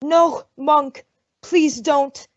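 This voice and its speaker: A woman's voice: high voice, extremely slow. A woman playing a timid, pleading outlaw gang member in an old-time radio western. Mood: frightened, pleading. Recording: very noisy, dull lo-fi audio.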